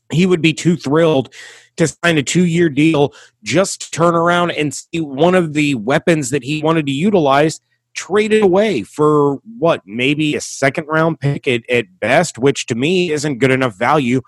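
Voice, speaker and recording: A man hosting a sports podcast, giving emphatic opinions with rhetorical questions and deliberate stresses on key words. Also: on the high side, no echo.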